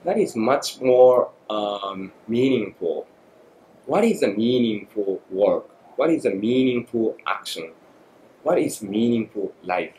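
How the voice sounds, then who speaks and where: Halting Japanese-accented man, low voice. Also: animated, dark tone. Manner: thoughtful, halting, many fillers. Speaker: guest photographer. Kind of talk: podcast interview. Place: small studio room. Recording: echoey room, faint noise.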